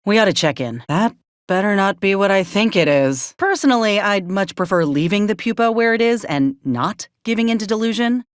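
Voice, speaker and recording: A woman acts out a plainspoken former combat medic, a video game companion with a dry, sardonic streak and folksy turns of phrase. Her voice sits at a middling pitch and is recorded close and dry. She is practical and stands by her company. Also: expressive, a little fast, dry recording, lightly acted. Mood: concerned.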